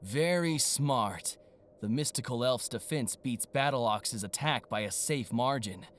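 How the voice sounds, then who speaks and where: An actor playing an arrogant, gloating young male card-game villain in an anime-style audio drama, theatrical and taunting, swinging from sly murmurs to shouts. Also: mid-range voice, expressive, a little slow, slight background noise. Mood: smug, scheming.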